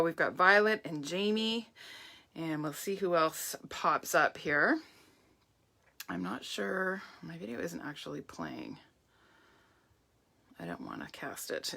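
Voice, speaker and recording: A middle-aged woman hosts a live craft demo with a somewhat low voice. Her voice is hoarse and croaky from strain, but she stays chatty and upbeat, greeting viewers as they arrive. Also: pushed and bright. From Canada. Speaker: craft demonstrator.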